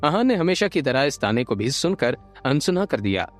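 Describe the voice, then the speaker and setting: A man narrating an audio drama from a script, expressive and building suspense. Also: medium pitch, normal speed. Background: light background music.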